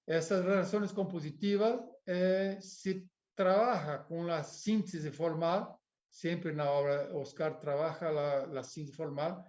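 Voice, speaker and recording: A man whose first language is Portuguese gives an academic architecture lecture with a Portuguese accent, pausing to search for words and often adding tag questions. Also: on the high side, steady tone, darkish tone. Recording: dull lo-fi recording.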